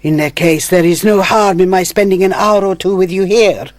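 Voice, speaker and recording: An actress playing a scheming, seductive señora in a radio drama, with a coquettish, theatrical manner. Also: female voice, lowish voice, moderate pace, a little mellow, no echo, natural voice. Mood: coy, seductive. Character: seductive, scheming, theatrical Latin American judge's wife.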